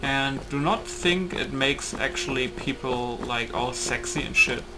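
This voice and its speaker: A man's voice: medium pitch, a little slow, rough recording. A man giving casual, rambling playtest commentary on a video game, thinking aloud. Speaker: gaming video maker. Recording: game noise behind the voice. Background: video game ambience.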